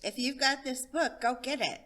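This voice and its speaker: A woman hosting a story time, reading a children's picture book aloud in an expressive narration. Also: high-pitched, moderate pace. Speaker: storytime host and reader.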